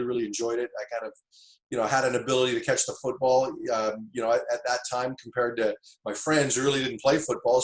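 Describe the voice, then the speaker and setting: A middle-aged man from Tanzania, a football coach, telling stories about his start in the game in a relaxed, reflective way, with plenty of fillers and restarts. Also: medium pitch, echoey room, low-quality recording. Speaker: football coach, interview guest. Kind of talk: casual interview storytelling.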